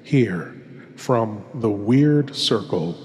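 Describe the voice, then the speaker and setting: Man playing a slow, ghoulish horror host lord. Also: on the low side, expressive, a little hiss or hum. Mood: eerie, courtly. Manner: hushed, deliberate, dramatic pauses. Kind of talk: theatrical scripted horror hosting. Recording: long reverberant echo.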